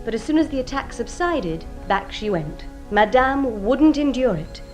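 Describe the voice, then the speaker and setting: A woman's voice: a little high, sing-song, normal speed, darkish tone, soft background music. An actress playing a composed, proud young lady of a wealthy household in a British radio drama, polite, precise and formal. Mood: defensive, firm.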